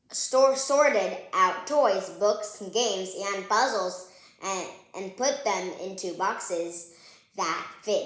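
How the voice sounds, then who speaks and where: Woman doing a kids read-aloud, bright voice, chatty and informal, kinda echoey room. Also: medium pitch. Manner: chatty, informal, addressing young viewers directly. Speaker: host of a children's read-aloud channel. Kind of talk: storybook read-aloud and casual chat to viewers.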